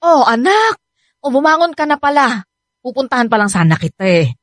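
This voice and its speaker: A woman's voice: high-pitched, sing-song, normal speed, dry recording. An actress with a Filipino accent playing a doting, bossy middle-aged mother in a radio drama. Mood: bossy, fussing. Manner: fussy, chatty, commanding.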